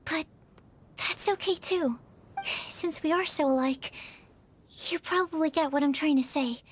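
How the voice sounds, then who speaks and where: A woman voicing a shy, kind young researcher in a video game, speaking in a gentle, earnest way. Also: sits high, measured pace. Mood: sincere.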